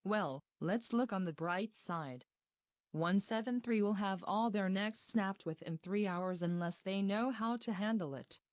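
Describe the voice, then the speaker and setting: A woman's voice: mid-range voice, measured pace, darkish tone, no echo, natural voice. A woman playing a composed, wry council member of a secret organisation, in dramatic meeting dialogue.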